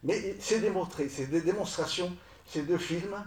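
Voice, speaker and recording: A man whose first language is Arabic, recounting his film work to an audience in a halting way, repeating words as he restarts. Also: sits high, distant mic. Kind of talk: spoken recollection to an audience. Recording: echoey room, voice from off-mic.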